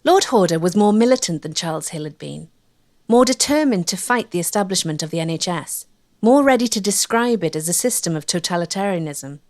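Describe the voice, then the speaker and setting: A woman's voice: lowish voice, expressive, brisk, no echo, studio quality. A woman reading scripted narration as the narrator of a documentary.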